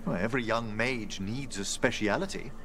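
An actor voicing a gentle, wistful older necromancer scholar in a video game, speaking formally and courteously. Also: male voice, lowish voice, moderate pace, background noise, natural voice. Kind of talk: video game dialogue acting.

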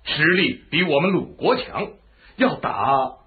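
A man's voice: a little high, lively intonation, slow. An actor playing a loyal, battle-scarred general addressing his lord, earnest, formal and emphatic, in a Chinese historical radio drama. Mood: earnest, cautioning. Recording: muffled, phone-like lo-fi radio drama audio.

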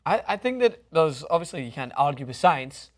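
A man's voice: on the high side, sing-song, a little fast. A young man with a Scottish accent giving his opinions casually as co-host of a studio talk show. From Scotland. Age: young adult. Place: TV-style studio, indoors.

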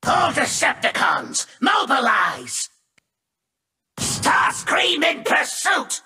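A power-hungry robot villain, shouting in a piercing, theatrical voice that swoops wildly. It is pompous video game declaiming, slow and echoey. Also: male voice, sing-song, soft background music, full character voice. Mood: haughty, commanding. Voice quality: shrill, piercing. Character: arrogant, power-hungry male robot villain, treacherous schemer. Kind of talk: video game character lines.

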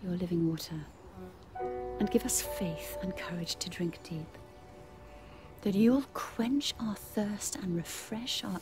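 A middle-aged woman gives an earnest, measured devotional reflection as a bishop, pausing between phrases. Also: on the low side. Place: outdoors in a garden.

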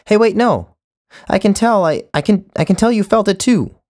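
A man's voice: high-pitched, animated, normal speed, a little mellow, pitched above the performer's own voice. A man voicing an anxious young man who stammers and pleads, out of breath. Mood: pleading.

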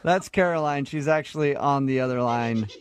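A man's voice: mid-range voice, normal speed. A man hosting a radio show with casual, comedic banter and questions.

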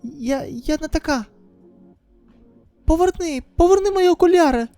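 A woman acts out an anxious teenage girl from a manga drama. Her high voice is tender and pleading, with a soft, mellow tone. She sounds close and dry, with music behind her. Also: lively intonation, normal speed, no echo. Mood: timid. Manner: emotional, pleading.

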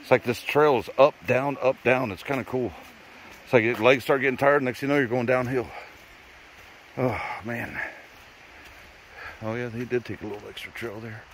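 A man's voice: mid-range voice, fairly even intonation, moderate pace, pauses often, a bit bright, slight background noise. A middle-aged man filming a hike on a forest trail, joking and cheering on his family as he talks to the camera. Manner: joking, encouraging, talks to the camera while hiking. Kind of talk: casual vlog chat.

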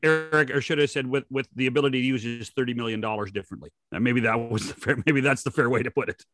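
Quick-talking older man, very dry sound. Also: medium pitch, fairly bright tone, dry recording. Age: 60s. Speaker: podcast co-host. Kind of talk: sports talk, probing questions. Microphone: studio microphone close in front.

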